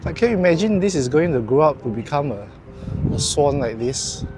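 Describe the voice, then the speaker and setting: A man's voice: fairly high pitch, animated, fairly quick, over loud music. Chatty Singaporean man vlogging. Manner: chatty, self-mocking, swears when nervous. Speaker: vlogger, first-time stand-up comic. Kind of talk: casual vlog chat.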